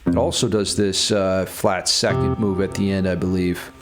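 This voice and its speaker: A man's voice: sits low, steady tone, normal speed. A man giving a casual guitar theory tutorial, thinking aloud and enthusiastic about the material as he explains it. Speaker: guitar music theory teacher.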